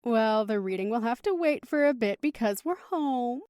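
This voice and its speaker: A woman acts as a firm, protective mother in an audio drama. The voice is fairly high and dryly recorded, giving instructions with patient, teasing authority. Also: expressive, normal speed, dark tone, clean tone, no room sound, clean studio sound, natural voice. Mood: exasperated, placating.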